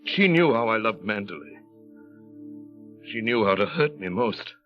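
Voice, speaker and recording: An actor playing a tormented, brooding aristocratic husband in an old radio drama, speaking with intense, deliberate dramatic phrasing. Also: male voice, fairly low pitch, big pitch swings. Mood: bitter, anguished. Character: tormented, brooding aristocratic husband confessing to his young wife. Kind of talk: 1930s radio acting. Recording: muffled old radio broadcast, music underneath.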